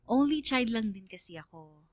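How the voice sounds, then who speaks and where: A Filipino woman working as a radio DJ, narrating a listener's letter as a scripted dramatic story in an earnest, measured way. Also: fairly high pitch, animated, darkish tone, no room sound. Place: dry studio, music behind.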